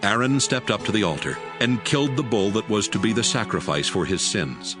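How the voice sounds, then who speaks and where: A man reading scripted scripture narration for an audiobook in a measured, solemn way. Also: lowish voice, expressive. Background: music.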